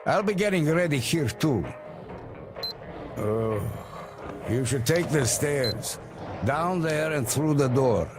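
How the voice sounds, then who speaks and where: An easygoing game survivor, slow and gravelly, music playing behind. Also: male voice, on the high side, expressive. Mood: calm, helpful. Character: easygoing gravelly hungover man, friendly survivor. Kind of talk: video game cutscene acting.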